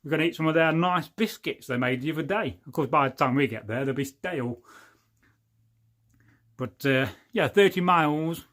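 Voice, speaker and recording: A man in his forties with a voice a little higher than most men's. He hosts a relaxed livestream, chatting in a rambling, jokey way and often losing his thread as he reads out viewers' comments. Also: normal speed. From England. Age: 40s. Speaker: livestream host. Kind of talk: casual livestream chat reading viewer comments.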